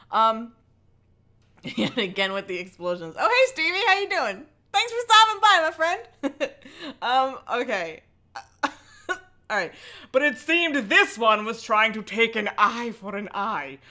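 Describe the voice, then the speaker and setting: Bright-voiced, playful woman livestreamer. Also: mid-range voice. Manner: playful, irreverent, swears casually; chatty, often breaks off into asides. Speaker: livestream host reading a book aloud. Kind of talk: casual livestream chat mixed with mocking dramatic read-aloud.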